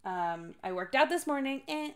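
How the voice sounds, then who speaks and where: A young woman co-hosting a podcast in casual chat, sounding stuffy and congested from allergies, chatty and quick to laugh. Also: high-pitched, lively intonation. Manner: chatty, laughs often, sisterly banter. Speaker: podcast co-host.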